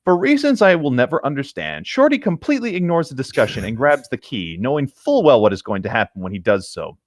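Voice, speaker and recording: Lively man with a dry, close sound. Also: medium pitch, a little fast, no echo. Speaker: podcast host reading stories. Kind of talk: reading a written story aloud with casual chat.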